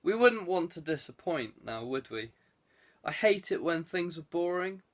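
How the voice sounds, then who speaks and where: A man's voice: on the high side, sing-song, a little slow. A man voicing a jaunty, playfully posh ex-cadet saboteur with breezy banter in an audiobook. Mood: gleeful.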